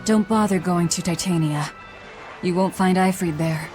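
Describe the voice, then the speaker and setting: Woman playing a cold, blunt, low-pitched young fugitive. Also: normal speed, darkish tone, faint music behind, close to an everyday voice. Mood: cold, curt. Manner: curt, blunt. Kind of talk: dramatic game voice acting.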